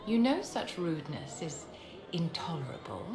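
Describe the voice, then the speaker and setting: A woman's voice: low-pitched, very animated, normal speed, roomy sound, crowd noise. A woman playing an imperious aristocratic enchantress in a fantasy game, poised, genteel and condescending. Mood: icy, condescending. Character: imperious, silky aristocratic female court enchantress.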